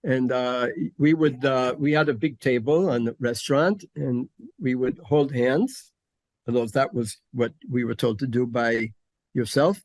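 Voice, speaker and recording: A man's voice: medium pitch, fairly even intonation, darkish tone, no echo. Older guy telling his story, lots of uhs and restarts, dry close sound. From Connecticut, USA. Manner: halting, many fillers and restarts. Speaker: guest. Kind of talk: casual interview conversation.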